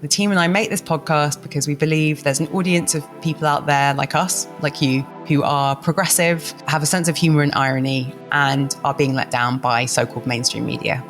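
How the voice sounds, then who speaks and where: A woman co-host reads a scripted appeal to listeners in a friendly, measured tone. Soft music plays faintly beneath her voice. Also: low voice, steady tone. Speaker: podcast co-host.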